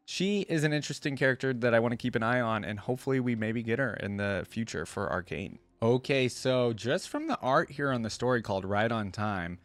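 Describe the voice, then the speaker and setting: A man hosting a reaction video, commenting informally and reading aloud as he thinks out loud. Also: mid-range voice, expressive, a little fast, few pauses, dry recording.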